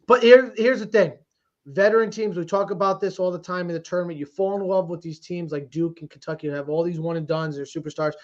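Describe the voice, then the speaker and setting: A man's voice: high-pitched, steady tone, fast. A man co-hosting a sports podcast, giving casual, emphatic commentary with exclamations and repeats for emphasis, in dull lo-fi audio.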